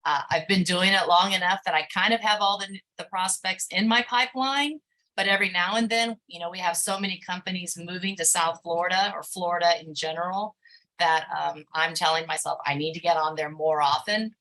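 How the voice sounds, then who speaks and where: A woman speaks on a video call as a panelist, her voice bright and forward while sitting a little low in pitch. She talks in a halting way, filling gaps with frequent ums and uhs. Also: steady tone, low-quality recording. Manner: hesitant, many fillers. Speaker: grant writer, panelist.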